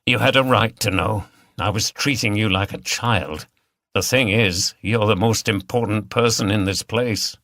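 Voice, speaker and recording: A man's voice: fairly low pitch, measured pace, sharp tone, no room sound, studio quality. A man voicing a protective, contrite husband with an earnest, reassuring manner in an audiobook story. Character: protective, contrite husband who runs an inn.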